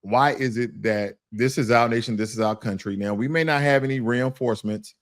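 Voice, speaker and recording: A man argues his point passionately in a relaxed conversation. He keeps asking rhetorical questions to drive his argument home. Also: mid-range voice, a little fast. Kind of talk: casual discussion.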